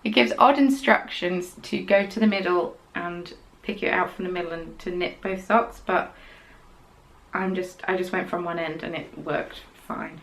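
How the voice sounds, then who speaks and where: A young woman hosting a podcast, chatting casually about her knitting in a rambling way and dropping into quiet, whispered asides. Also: lowish voice, steady tone, normal speed. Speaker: podcast host.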